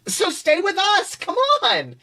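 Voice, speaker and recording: Small, eager schoolboy, played by a man, high-pitched and bubbly, for comic story narration. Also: slow, heavily acted. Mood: excited. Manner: bubbly, excited.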